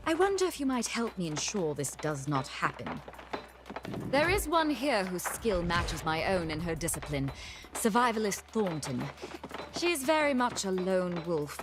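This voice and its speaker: A woman playing a stately, earnest female blacksmith in a video game, speaking in a formal, measured manner. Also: lowish voice, background noise. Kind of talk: video game character acting.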